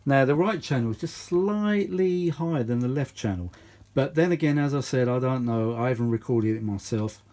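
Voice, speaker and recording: A man's voice: medium pitch, animated, moderate pace, few pauses, mellow. A British man rambling casually through a hands-on demonstration, often muttering asides to himself. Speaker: vintage audio hobbyist and video host.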